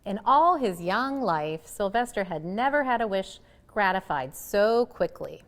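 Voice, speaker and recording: A woman reads a children's picture book aloud in a lively, swooping voice. She stresses key words and pauses for drama, and the room around her rings with long reverb. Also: medium pitch. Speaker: librarian reading aloud.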